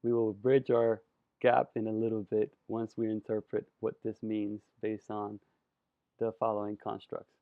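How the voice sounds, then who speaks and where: A man from Colombia giving a presentation lecture, expressive and savoring his words with drawn-out emphasis. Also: lowish voice, no echo. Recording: dry, phone-like narrow sound.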